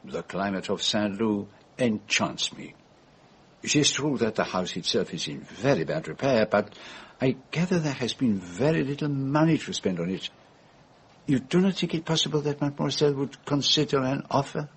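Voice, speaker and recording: A shrewd, middle-aged foreign detective. His pitch moves freely as he probes with elaborate courtesy. The voice has a husky grain, suited to radio mystery drama. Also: animated, normal speed. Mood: smoothly probing. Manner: formal, elaborately polite, probing.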